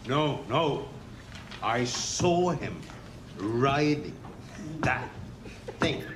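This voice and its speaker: Earnest loyal witness, slow delivery, drama table read, echoey noisy room. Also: male voice, medium pitch, lively intonation. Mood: earnest, insistent. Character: earnest man loyally testifying to a wonder seen. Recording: echoey room, clear background noise.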